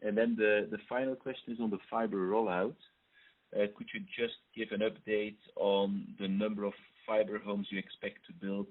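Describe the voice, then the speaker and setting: A man calling in as a financial analyst, politely putting structured questions on a conference call. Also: fairly low pitch. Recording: narrow muffled phone line.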